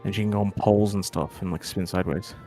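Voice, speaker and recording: A man's voice: sits low, fairly even intonation, fast, warm tone. A man talking casually as a friend on a gaming voice chat. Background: game music.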